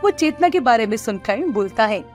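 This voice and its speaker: Female storyteller, higher pitch, scripted moral-tale narration, faint music underneath. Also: expressive, moderate pace, darkish tone.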